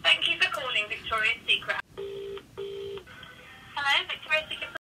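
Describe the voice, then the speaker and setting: A woman working as a shop assistant, answering a customer call, heard through a phone loudspeaker. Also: high voice, moderate pace, distant mic, over music. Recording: loud phone loudspeaker, faint room noise.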